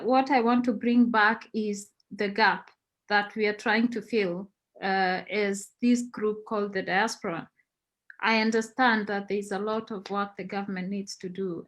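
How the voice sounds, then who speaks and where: Calm, reflective Kenyan woman on a panel. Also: mid-range voice, normal speed. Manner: calm, reflective, refocusing the debate. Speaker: diaspora panelist. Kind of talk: panel discussion.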